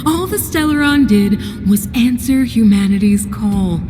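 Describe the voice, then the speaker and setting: An actress playing a solemn, bitterly ironic mother and ruler in a video game, speaking in a grave, measured, theatrical way. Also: a little high, animated, music in the background. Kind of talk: dramatic video game cutscene acting. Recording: long hall reverb, background noise.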